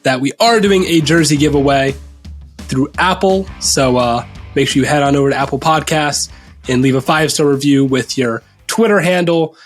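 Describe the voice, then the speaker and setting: A man's voice: fairly high pitch, normal speed, faint music behind. A young man hosting a casual sports podcast, upbeat and joking as he runs the show. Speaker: podcast host.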